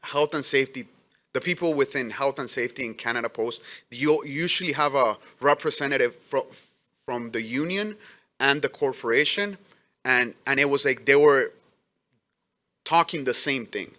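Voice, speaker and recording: A man's voice: mid-range voice, pushed and bright. Immigrant man with a non-native accent, earnest hearing witness, keen and tense in tone, stumbling over restarts. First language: not English. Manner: earnest, halting, many restarts. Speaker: letter carrier giving testimony. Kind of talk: testimony at a public hearing.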